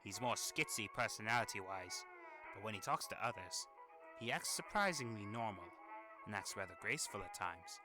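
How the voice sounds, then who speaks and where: A man's voice: fairly low pitch, lively intonation, moderate pace, lightly acted, low-quality recording. A man voicing a weary, irritable, sardonic demon hotel resident in a fan-made animation monologue, with grumbling, exasperated delivery. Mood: dry, disdainful. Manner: grumbling, exasperated, sighing asides. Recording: voice over old phonograph music.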